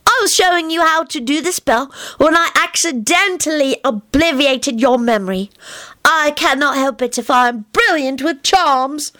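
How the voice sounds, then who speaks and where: A woman's voice: high-pitched, moderate pace, sharp tone, no echo, pitched above the performer's own voice. A woman voicing a precocious young wizard boy who talks in an earnest, matter-of-fact way. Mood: lofty.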